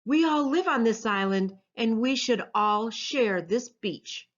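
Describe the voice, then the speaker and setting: Storybook hero: kind, protective male turtle female reader, earnest, reassuring, measured delivery, lo-fi sound. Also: fairly high pitch, lively intonation. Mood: firm, brave.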